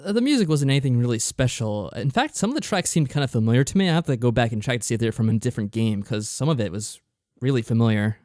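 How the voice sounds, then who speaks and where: A man chats casually as a podcast co-host. His voice sits at an average pitch and is captured dry, and he comes across as mild and matter-of-fact. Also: animated, quick pace, a little mellow, no room sound. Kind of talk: casual game discussion.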